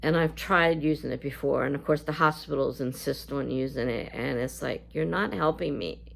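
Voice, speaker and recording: Woman, scratchy gravelly voice, deep, vlog chat, halting with fillers. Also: a bit bright. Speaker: YouTuber. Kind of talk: casual talk to camera.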